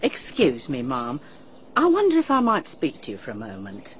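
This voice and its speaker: A woman's voice: a bass voice, wildly swooping pitch, moderate pace, a little hiss or hum, full character voice. A humble widowed cleaning woman. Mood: deferential. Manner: deferential, plain-spoken storyteller. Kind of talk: radio drama acting. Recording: dull lo-fi radio drama recording.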